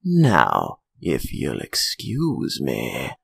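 A man voicing Death as a skeletal reaper in a comic book dub, with a gravelly, raspy voice and a courteous, theatrical manner. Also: a bass voice, wildly swooping pitch, very slow, no room sound, heavily acted. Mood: businesslike. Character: Death, a skeletal reaper, cheerfully well-rested.

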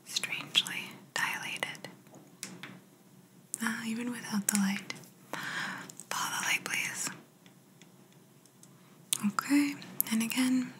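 A young woman doing a soft-spoken, whispered ASMR roleplay as a calm, playful alien examiner, close to the microphone, gentle and reassuring. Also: a little high, slow, pauses often, poor audio. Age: young adult.

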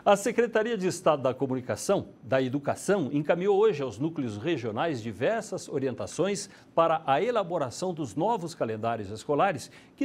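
A middle-aged man with a Brazilian accent presenting television news in a studio on a lapel mic. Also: fairly high pitch. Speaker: news anchor.

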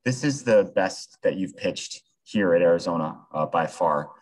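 Deep-voiced American male reporter. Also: animated, moderate pace, poor audio. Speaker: sports reporter. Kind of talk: press conference questions.

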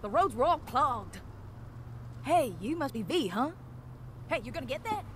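A woman playing a brash, excitable young mechanic in a video game, brassy and slangy. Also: sits high, moderate pace, slight background noise. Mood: excited. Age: young adult. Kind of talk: video game cutscene acting.

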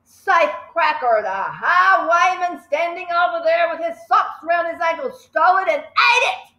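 A woman puts on a huge, cruel headmistress in a storybook reading, booming and sneering at a slow, heavy pace. The voice is sharp and bright, swinging widely up and down. It rings in a room with a clear echo. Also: expressive, muffled recording, full character voice. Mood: furious. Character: fierce bullying headmistress, big and cruel. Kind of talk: reading a children's book aloud.

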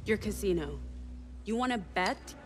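A woman's voice: medium pitch, expressive, a little slow, noisy background, lightly acted. Actress as a menacing young female crime boss. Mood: defiant. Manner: menacing, taunting. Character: ruthless, arrogant young female street racing crime boss. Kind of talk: video game cutscene acting.

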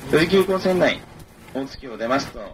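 A man's voice: lively intonation, normal speed. Male train conductor, mid-range voice, courteous formal announcements through an onboard PA, with noticeable train noise behind. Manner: formal, polite, repeats key information. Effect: public address speaker. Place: inside a moving train, over its PA. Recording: noisy train PA speaker, rumbling vehicle.

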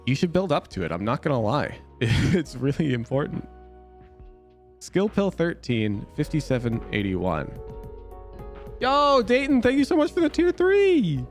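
Lively gravelly-voiced male streamer. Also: on the high side. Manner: animated, jumps to loud exclamations. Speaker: streamer reviewing gameplay. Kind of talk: casual chat with live viewers.

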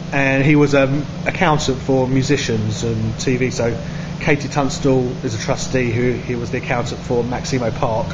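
A man who organises the charity, earnestly explaining at length from behind the camera in a pub. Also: medium pitch. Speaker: charity organiser filming the artist. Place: indoor pub. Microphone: camera mic, speaking from behind the camera. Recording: dull sound with clear background noise.

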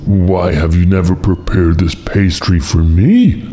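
A blustering fairy-tale ruler, performed by a man reading aloud. He speaks slowly and emphatically in a fairly low voice. His manner is imperious and tyrannical, with a temper always close to the surface. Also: dark tone. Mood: demanding. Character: blustering, tyrannical Grand Duke with a short temper.

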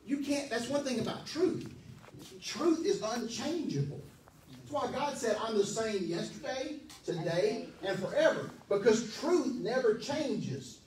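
A man in his forties preaches with a high, swooping voice and emphatic energy, speaking into a handheld mic. He is heard from a distance in a roomy church hall that rings with echo, under a faint hum of background noise. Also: measured pace. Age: 40s. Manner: animated, emphatic, pacing the stage. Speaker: pastor. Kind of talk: sermon. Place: church hall, medium-sized, indoors. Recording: echoey hall, from far off.

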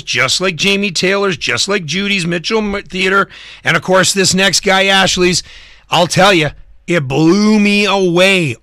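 Bright, high-voiced male radio host. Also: no echo, clean studio sound. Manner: emphatic, informal, punchy short phrases. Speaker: live radio music show host. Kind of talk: enthusiastic radio DJ talk.

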